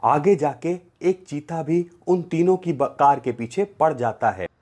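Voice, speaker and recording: A man's voice: fairly high pitch, lively intonation, measured pace, dark tone. A man narrating a story for children in a storyteller's style. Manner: acts out the characters' dialogue.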